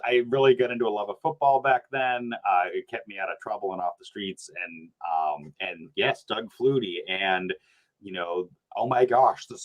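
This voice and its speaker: A man, a podcast guest chatting casually, animated and rambling, laughing often. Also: on the low side, rough recording. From New Hampshire. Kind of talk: casual sports chat.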